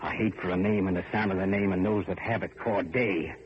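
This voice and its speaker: A bitter old manservant, played by a male actor taunts with menace in a rock-bottom voice. His words are drawn out for emphasis in vintage radio horror style. The recording is dull and clearly noisy. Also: low-pitched, fairly even intonation, fairly quick, close to an everyday voice. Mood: bitter taunting. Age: older. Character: bitter, vengeful old male servant consumed by hatred. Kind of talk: old-time radio horror drama acting.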